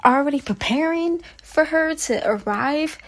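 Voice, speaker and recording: A woman presents casual fan commentary as a video host. Her voice is on the higher side, and she talks in an expressive, openly gushing manner. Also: unhurried. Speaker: video host reviewing game phone calls.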